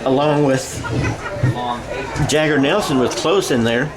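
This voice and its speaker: An American man giving live radio-style play-by-play of a football game, halting and correcting himself as he goes. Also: medium pitch, a crowd in the background, low-quality recording. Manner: halting, self-correcting as he calls plays. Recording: clear background noise of a ballgame.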